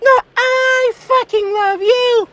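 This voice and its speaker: A performer voicing a gushy, melodramatic, lovesick woman with a fickle temper, in a breathy, theatrical comedy parody of romance. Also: extremely high pitch, steady tone, slow pace, fairly bright tone, heavily acted. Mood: gushing, adoring.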